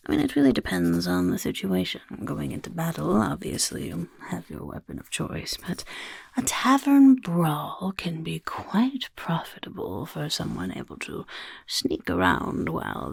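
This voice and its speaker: A woman playing a smug goblin boss, gravelly breathy whisper, slow, close dry mic. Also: on the low side, a little mellow, dry recording, exaggerated voice. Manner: whispery, smug, mischievous questioning. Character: scheming little goblin overlord interviewing recruits. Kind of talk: soft-spoken ASMR roleplay. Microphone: close ASMR mic.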